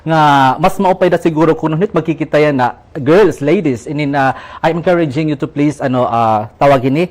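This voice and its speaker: A Filipino man co-hosting a casual bilingual show, chatty and halting, often repeating his words. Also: fairly high pitch, darkish tone. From Eastern Samar, Philippines. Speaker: radio and TV co-host.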